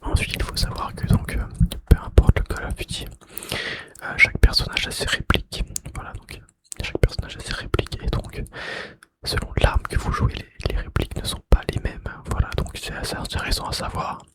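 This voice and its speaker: A man whispering in a breathy, unvoiced voice with mouth sounds, in an ASMR style, softly repeating phrases. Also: a little fast. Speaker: ASMR video creator and host.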